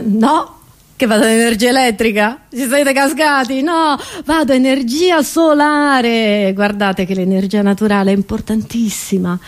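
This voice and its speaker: A woman comedian delivering a theatrical stand-up monologue, acting confused and putting rhetorical questions to her listeners. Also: fairly high pitch.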